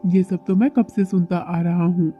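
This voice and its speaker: A man's voice: sits high, steady tone, normal speed, natural voice. A man playing a greedy, callous crow moneylender in a children's cartoon, demanding and dismissive. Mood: threatening, dismissive. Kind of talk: Hindi children's cartoon dialogue. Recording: thin phone-like audio with music underneath.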